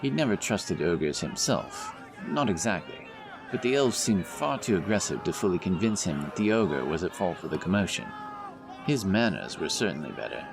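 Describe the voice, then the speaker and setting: A man's voice: low-pitched, very animated, normal speed, crowd noise. A man narrating a scripted fantasy audiobook as storyteller. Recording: narration over loud background music. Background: music, outdoor sound effects.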